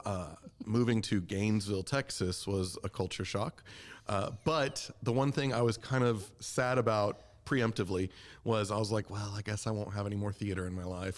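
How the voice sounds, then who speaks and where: Male podcast guest, low-pitched voice, relaxed interview-style conversation. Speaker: community theater member, podcast guest.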